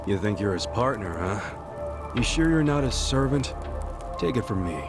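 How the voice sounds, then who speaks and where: Streetwise gangster interrogator, fairly deep, blunt, skeptical, video game drama, background music. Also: male voice, very animated, measured pace. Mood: mocking, probing. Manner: blunt, sarcastic, needling.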